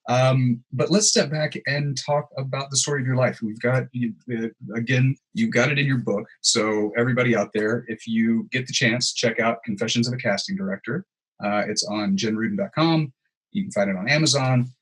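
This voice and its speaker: Man, podcast host, husky voice, casual interview, agreeable. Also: medium pitch, steady tone, normal speed, a bit bright, rough recording. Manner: agreeable, affirming, long run-on questions.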